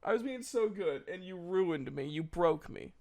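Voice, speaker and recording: A man's voice: sits high, animated, normal speed, gravelly, a little mellow, slight background noise. A man reading a story as narration, breaking in with informal, jokey asides. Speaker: audiobook reader and commentator. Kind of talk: storytelling narration with casual comic commentary.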